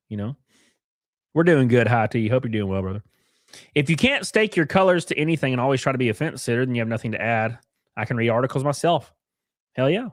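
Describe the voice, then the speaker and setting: Male streamer, mid-pitch, congested, dry booth-close sound, sarcastic banter. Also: no echo. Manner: sarcastic, rambling, greets chatters by name. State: sniffly, congested sinuses. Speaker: livestream host. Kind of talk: casual reaction livestream chat.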